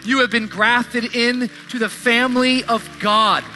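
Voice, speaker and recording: Fervent preacher, very high-pitched, forceful man. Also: fairly even intonation, unhurried, a little hiss or hum. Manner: emphatic, declamatory, repeating phrases for effect. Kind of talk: impassioned motivational sermon.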